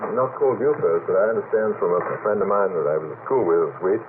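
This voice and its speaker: A man's voice: fairly low pitch, animated, quick pace. A man speaking as a radio panel guest, discursive and formally worded in conversation. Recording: tinny old radio broadcast, faint noise.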